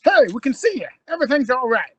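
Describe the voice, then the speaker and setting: Woman playing eager young man, husky, lively pitch, casual, audio drama. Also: quick pace, no room sound, heavily acted. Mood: puzzled. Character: eager, informal young male traveling companion Steven.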